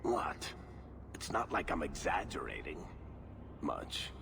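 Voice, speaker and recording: A man playing a roguish, joking dwarf sidekick in video game banter, wry and animated. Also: extremely low pitch, slow pace, creaky, background noise, heavily acted. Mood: wry, sheepish.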